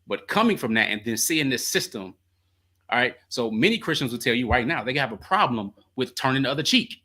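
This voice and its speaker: A man hosts a call-in show with a quick, bright, forceful delivery. He talks loosely and animatedly, slipping into slang and telling stories. His voice sits at an ordinary male pitch. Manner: animated, laughing, slangy storytelling. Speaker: call-in show host and coach. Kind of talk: call-in advice talk.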